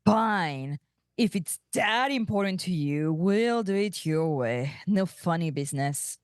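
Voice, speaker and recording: A woman playing a brash, sardonic alien pirate aunt in an animated sci-fi table read, with an unhurried, wry delivery. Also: fairly low pitch, smooth, no room sound, clean studio sound. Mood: grudging.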